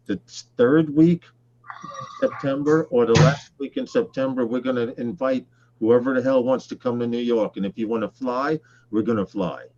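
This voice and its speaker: A man co-hosting a casual live show, rambling loosely and often clearing his throat. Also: mid-range voice, normal speed, darkish tone, dull audio. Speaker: livestream co-host.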